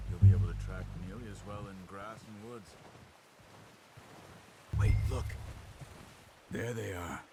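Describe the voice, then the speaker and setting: Calm, watchful outlaw hunter, music behind. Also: male voice, fairly low pitch. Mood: hushed, focused. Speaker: outlaw gang member. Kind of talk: naturalistic video game acting. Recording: loud music bed behind dialogue.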